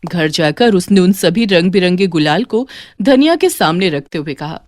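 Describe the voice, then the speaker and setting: Expressive woman storyteller, animated pitch. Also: moderate pace, slight background noise. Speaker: narrator. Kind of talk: scripted children's story narration. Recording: faint music behind.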